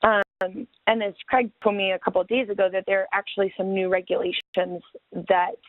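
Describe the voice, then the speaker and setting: A woman of average pitch talks informally as a panelist. She often pauses partway through her sentences. Her voice comes through a narrow, tinny phone line with no room sound. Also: flat delivery, no room sound. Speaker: webinar panelist. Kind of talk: informal explanatory talk. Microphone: telephone or conference call line.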